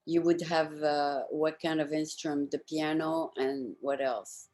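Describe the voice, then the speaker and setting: A woman's voice: low-pitched, fairly even intonation, a little slow, darkish tone. A woman. She talks casually, hosting a conversational interview. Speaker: talk show host.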